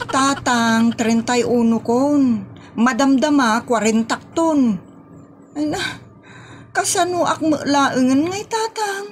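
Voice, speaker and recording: A woman's voice: high voice, takes it slowly, a little hiss or hum. A woman with an Ilocos accent from the northern Philippines playing an exasperated, pleading niece of thirty-one in a comedy audio drama. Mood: whiny, complaining. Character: exasperated 31-year-old single niece, pleading and whiny.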